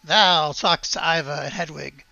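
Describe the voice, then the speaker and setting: A woman's voice: low-pitched, measured pace, creaky, sharp tone, dry recording, dull audio. A woman acting as game master, narrating a tabletop game casually and hesitantly, as if thinking aloud.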